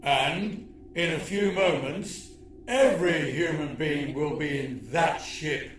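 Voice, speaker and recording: A pompous alien warlord acted out by a man, imperious and quick to rage, snarls out his threats in a storybook. His voice sits fairly high and his words come slowly and deliberately, over faint background noise. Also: a bit bright, echoey room, recorded from a distance. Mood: smug.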